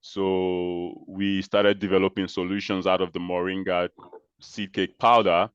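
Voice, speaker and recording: A man from Nigeria, a guest in an interview, explaining his work in a measured way with pauses and restarts. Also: sits low, little pitch movement, clean tone, no room sound, muffled recording. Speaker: interview guest, social entrepreneur. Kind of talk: conversational interview answers.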